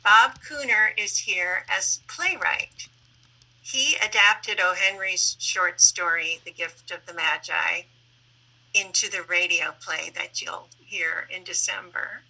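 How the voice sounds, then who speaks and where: A woman hosting an online panel over a video call, introducing guests and asking questions in a measured, organized way. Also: lowish voice, gravelly, pushed and bright, poor audio. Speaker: host and moderator, library staff member. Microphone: video call microphone.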